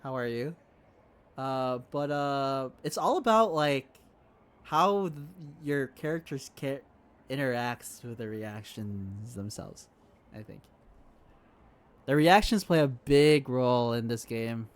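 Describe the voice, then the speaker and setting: A man's voice: medium pitch, expressive, takes it slowly, smooth. A young adult man streaming a game, chatting casually in a rambling, sweary way. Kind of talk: casual chat while playing.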